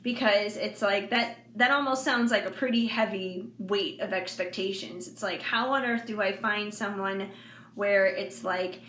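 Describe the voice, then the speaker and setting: A woman of forty talking candidly to her viewers in a casual, rambling way with many fillers and soft laughs. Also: medium pitch, measured pace. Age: 40s. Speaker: intuitive reader and vlogger. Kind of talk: casual heartfelt monologue to viewers. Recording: echoey room with faint background noise.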